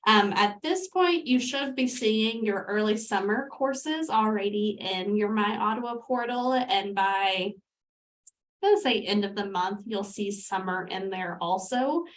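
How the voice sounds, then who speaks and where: A woman's voice: medium pitch, a little slow, recorded from a distance. Congested woman presenting over a call. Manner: friendly, uses many ums. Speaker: academic advisor. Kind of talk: orientation presentation. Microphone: headphones.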